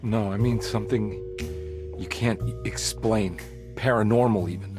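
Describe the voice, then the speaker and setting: An actor playing a weary, dogged private detective in a video game, gruff and informal, with clipped talk. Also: male voice, lowish voice, normal speed, lightly acted. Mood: urgent, unsettled. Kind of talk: cinematic video game voice acting. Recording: music behind the voice.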